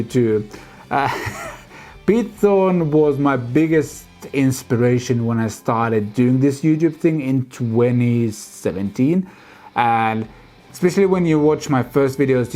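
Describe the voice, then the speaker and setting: A man, hosting a casual music vlog and answering viewer comments in a relaxed, chatty way. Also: mid-range voice. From Finland. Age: 30s. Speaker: music channel host.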